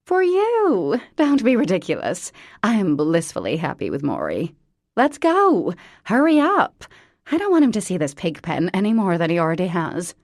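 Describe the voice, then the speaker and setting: A woman's voice: fairly low pitch, huge pitch swings, moderate pace, a little mellow, no echo, clean studio sound, exaggerated voice. A woman voicing a vain, bossy middle-aged society mother in an audiobook, theatrical and dramatic. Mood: scheming. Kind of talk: audiobook character voice.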